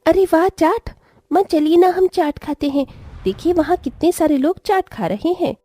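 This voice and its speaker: A woman playing an eager little village girl who coaxes her mother excitedly in a story. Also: extremely high pitch, moderate pace, dry recording. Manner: excited, coaxing.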